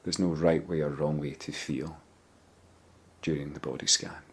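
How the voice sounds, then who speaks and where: A man leading a guided body scan meditation, giving gentle, measured instructions with pauses. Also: very deep voice, flat delivery, husky.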